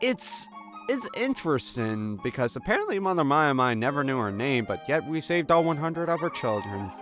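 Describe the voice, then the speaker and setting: A man's voice: a little high, very animated, normal speed, music in the background. A man hosting a game walkthrough with casual, conversational commentary and joking asides. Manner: jokey, conversational, slips into mock dialogue. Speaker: gaming video host.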